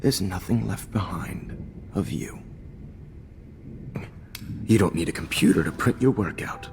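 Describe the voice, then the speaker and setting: A man's voice: low voice, measured pace, gravelly, a little mellow, slight background noise. A man voicing a smug, manipulative photography teacher in a video game, taunting and often whispering. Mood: menacing. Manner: taunting, mocking, drops into whispers.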